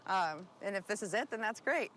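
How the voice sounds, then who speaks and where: A woman's voice: mid-range voice, animated, fairly quick, a bit bright. A woman talking casually as a local interviewee, recorded on location outdoors. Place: outdoors in a snowy neighbourhood. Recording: faint outdoor background noise. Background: outdoor ambience.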